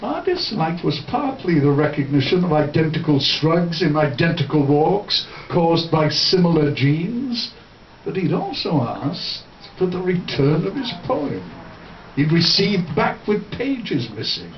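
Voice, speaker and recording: Roomy distant recording, man, theatrical poetry reading, deliberate phrasing, swooping intonation. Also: a little high, sing-song. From Wales. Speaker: poet. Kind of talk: live poetry reading with spoken introduction. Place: live reading in a bar. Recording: roomy, distant, muffled, faint noise.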